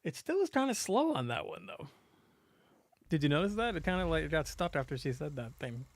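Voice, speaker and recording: Flustered male game streamer, slightly creaky voice. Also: fairly high pitch, big pitch swings, fairly quick, no echo, studio quality. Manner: flustered, apologetic, self-deprecating. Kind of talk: casual live game stream commentary with read-aloud game text.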